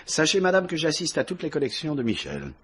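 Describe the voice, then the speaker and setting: An actor playing a suave, flattering gentleman in a vintage French radio drama, gallant and formal. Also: fairly high pitch, a little fast, slightly rough, full character voice.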